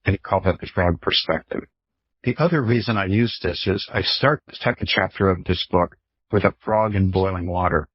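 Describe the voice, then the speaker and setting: A man's voice: deep voice, fairly quick, slightly rough, no room sound, dull audio. A man in his fifties, a professor explaining ideas conversationally as an interview guest, using examples and rhetorical questions. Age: 50s. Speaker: professor, author and guest expert. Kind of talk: explanatory interview talk with illustrative anecdotes.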